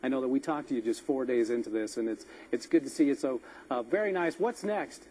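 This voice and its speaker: A man anchoring a live TV interview in a friendly, smooth manner. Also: mid-range voice, fairly quick, warm tone, slight background noise. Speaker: TV news anchor.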